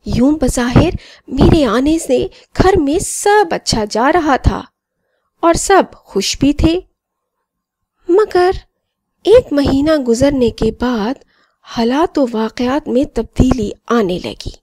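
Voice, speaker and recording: A woman voicing a friendly, boastful talking mobile phone that narrates and moralizes to children in an expressive storybook style. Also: sits high, no echo. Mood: warm recounting.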